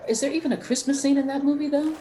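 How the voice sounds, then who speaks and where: A woman chatting casually in a group as a podcast panelist, in a halting, conversational way. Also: high-pitched, flat delivery, warm tone. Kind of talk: casual group chat about holiday movies. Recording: echoey room, background voices.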